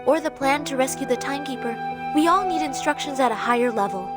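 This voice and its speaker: A dutiful agent in a video game, composed and businesslike. Her voice sits a little high, and loud music plays behind it. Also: animated, quick pace.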